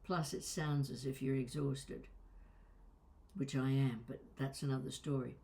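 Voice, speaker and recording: A woman's voice: a bass voice, steady tone, a little slow, creaky, dark tone. A woman coaching vocal exercises in a conversational, instructional way, stressing and stretching key words. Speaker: voice and accent coach.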